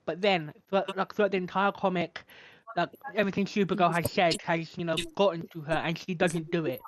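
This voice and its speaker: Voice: mid-range voice, fairly even intonation, fairly quick. A young co-host on a casual film podcast, explaining plot at length with many fillers.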